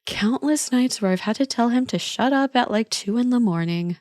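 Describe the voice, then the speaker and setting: A woman's voice: a little high, animated, normal speed, a little mellow, dry recording, studio quality, natural voice. A woman playing a friend's teasing older sister in a casual, intimate ASMR roleplay, chatty, playful and reassuring.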